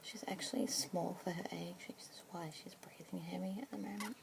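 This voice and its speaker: Whispering woman, soft and gravelly. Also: on the low side, fairly even intonation. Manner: soft unvoiced whisper, slow and gentle. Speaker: ASMR roleplayer as pet adoption consultant. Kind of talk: whispered ASMR roleplay.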